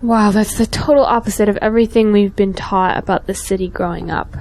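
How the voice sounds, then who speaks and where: A woman's voice: mid-range voice, steady tone, brisk, darkish tone, slight background noise, natural voice. Woman acting as a devout, earnest young believer. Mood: dismayed, searching. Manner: earnest, morally insistent. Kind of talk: audio drama dialogue.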